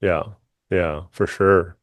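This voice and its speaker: A man with a fairly low voice conducts an interview. He speaks conversationally and a little haltingly, and the sound is dry and close with little room echo. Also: steady tone. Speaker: interview host.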